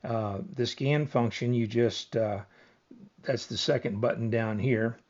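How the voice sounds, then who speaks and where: Low-voiced man casually demonstrating gear. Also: unhurried, darkish tone, highs cut off. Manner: plain-spoken, explanatory. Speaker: product reviewer. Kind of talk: casual hands-on product demonstration.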